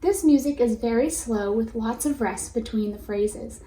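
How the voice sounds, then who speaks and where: A woman with a fairly high, very soft-edged and mellow voice reads a picture book aloud as a teacher would. Her delivery is instructive and explanatory, pitched to children. The recording is roomy and echoing. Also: normal speed. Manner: instructive, addresses listeners with questions and prompts. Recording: roomy echo with faint background noise.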